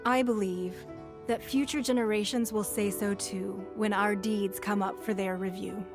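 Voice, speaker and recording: A woman's voice: medium pitch, steady tone, moderate pace, music in the background, lightly acted. A woman playing a stately leader in a video game, speaking in a formal, solemn and oratorical way. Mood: composed. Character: stately, eloquent female leader addressing her people.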